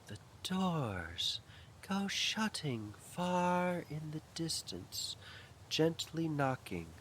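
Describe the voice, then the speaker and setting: A man narrating a ghost story as a slow, atmospheric dramatic reading, hushed and deliberate, with pauses between short phrases. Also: medium pitch, a little hiss or hum.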